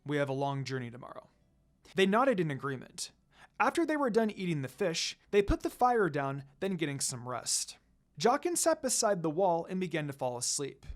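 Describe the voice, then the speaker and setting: A narrator reads a scripted fan fiction story aloud. The voice sits in a middle register and moves widely in pitch from phrase to phrase. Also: very animated, moderate pace.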